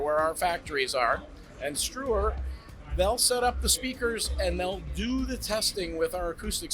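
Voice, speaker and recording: Brief: eager salesman type, man, high bright voice, demoing gear in a show room with faint music behind. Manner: eager sales pitch, lively explaining. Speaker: brand representative demonstrating home theater gear. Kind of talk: product demo talk. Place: demo room at a trade show.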